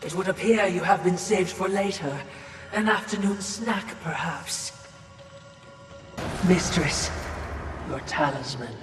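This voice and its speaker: A servile yet mocking female spirit for a video game. She speaks slowly and formally, insinuating every phrase, from far off in long reverb with music behind. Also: fairly low pitch. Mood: deferential, sly. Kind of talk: video game cutscene acting. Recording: distant, echoing voice over music.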